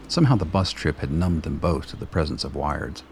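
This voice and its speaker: A man narrating a radio play in a measured, descriptive storytelling manner. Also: rumbling low, animated, a little mellow, slight background noise. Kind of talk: scripted narration.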